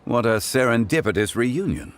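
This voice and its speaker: An actor playing a composed, wise antiques consultant in a video game, speaking in a formal, measured, eloquent manner. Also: male voice, mid-range voice, expressive, a little hiss or hum, close to an everyday voice. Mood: courteous, serene. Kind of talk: anime-style video game voice acting.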